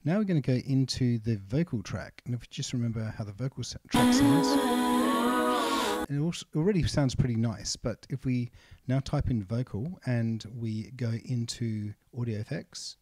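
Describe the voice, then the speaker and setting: A man's voice: medium pitch, lively intonation, normal speed, dark tone. A man narrating a casual music production tutorial, explaining informally step by step. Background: music samples and synth sounds.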